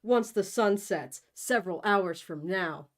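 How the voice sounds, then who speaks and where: A woman's voice: medium pitch, measured pace, natural voice. A woman voicing a dramatic, pushy young lovestruck hero in a comic storybook reading, with slangy, bossy delivery. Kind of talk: comic storybook read-aloud.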